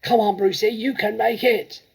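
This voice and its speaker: A man voicing excited schoolchildren in a storybook reading, yelling loudly as they cheer on a friend. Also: normal speed, creaky, breathy edge, heavily acted. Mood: rousing. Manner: high, loud yelling. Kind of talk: storybook character acting.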